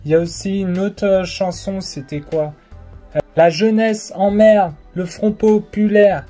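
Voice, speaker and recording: A young adult vlogger speaks straight into a phone camera at an unhurried, dragging pace. The tone is mellow and soft-edged, and the speech is full of hesitations and fillers but keeps pressing its point. Faint music plays underneath. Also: medium pitch, steady tone. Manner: hesitant, many fillers, colloquial and insistent. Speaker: political vlogger. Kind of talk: casual opinion monologue to camera. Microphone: phone or camera facing the speaker.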